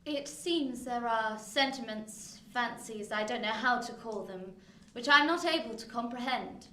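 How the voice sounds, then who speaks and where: Cold young lady, high bright voice, haughty, detached, radio drama, echoey, off-mic. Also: unhurried, a little hiss or hum. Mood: cool, detached. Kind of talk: radio play acting. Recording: echoey room, distant from mic.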